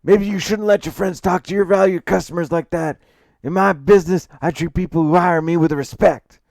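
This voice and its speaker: A man's voice: fairly high pitch, measured pace, vocal fry, a little mellow, no room sound, studio quality, voice pushed up. A man voicing a cranky, stubborn old semi-retired plumber in an audiobook, scolding and indignant. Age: older.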